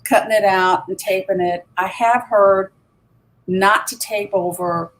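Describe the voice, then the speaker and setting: A woman's voice: medium pitch, steady tone, unhurried, low-quality recording. A woman joining a casual live video hangout as a reseller guest, easygoing and repeating words as she thinks. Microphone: video call.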